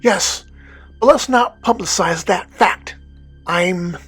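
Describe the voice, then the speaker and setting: A woman's voice: lowish voice, slow pace, husky, soft background music. A woman playing an imperious, condescending Prince of Darkness in a scripted comedy podcast skit. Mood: commanding. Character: haughty, scornful Prince of Darkness, demanding master.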